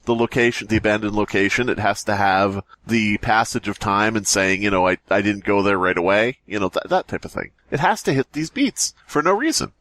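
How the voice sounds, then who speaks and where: A man's voice: lowish voice, brisk, hardly any pauses, fairly bright tone, no echo. A man co-hosting a podcast, moving between casual comic banter and reading aloud, with a mock-exasperated manner. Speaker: podcast co-host.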